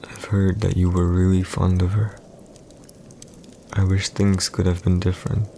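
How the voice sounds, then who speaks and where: A deep-voiced, cold emperor acted out by a man holds court in an audio roleplay. He is proud and calculating, weighing each phrase with deliberate, imperious pauses. A faint bed of ambient noise sits behind his voice. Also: monotone, dark tone. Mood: somber, hesitant. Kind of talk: scripted boyfriend audio roleplay, slow dramatic monologue.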